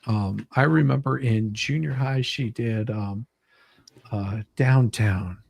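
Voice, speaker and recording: Male livestream host, creaky voice, dry close sound, loose and rambling delivery that circles back on itself. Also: medium pitch, slow pace, darkish tone, dry recording. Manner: rambling, repeats words while thinking. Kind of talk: casual livestream chat.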